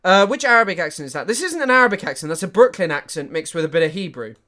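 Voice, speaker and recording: A man giving chatty live-stream commentary, animated and full of fillers and restarts. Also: high-pitched, rapid-fire, slight background noise.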